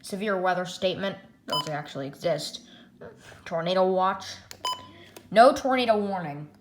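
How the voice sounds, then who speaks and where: An echoey room recording with loud noise carries a woman's slightly low voice. She demonstrates a gadget casually as a reviewer. Her delivery rambles, moving through items one at a time. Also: moderate pace. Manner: rambling, reading menu items aloud one by one. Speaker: product reviewer.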